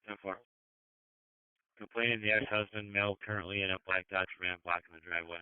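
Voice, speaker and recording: A man's voice: on the low side, steady tone, a little fast, clean tone, dry recording. A man making terse call-outs over police and emergency radio. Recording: tinny, narrow-band scanner radio.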